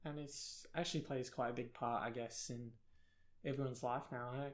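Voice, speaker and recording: A man's voice: mid-range voice, fairly even intonation, normal speed. Young guy w a british accent, rambly self-deprecating podcast chat, lots of ums. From South Africa. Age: young adult. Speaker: podcast co-host. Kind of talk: casual chat.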